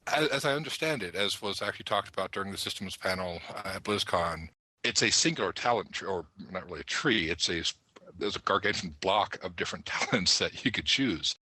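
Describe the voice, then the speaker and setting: A man's voice: deep voice, brisk, sharp tone, no room sound, rough recording. A man on a gaming podcast panel, talking enthusiastically and at length about his thoughts. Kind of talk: panel discussion of an online roleplaying game.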